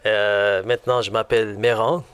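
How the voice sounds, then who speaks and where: A man from Lebanon with a Lebanese accent, giving a personal religious testimony in a halting way that often circles back on itself. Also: fairly low pitch, level tone, fairly bright tone, clean tone.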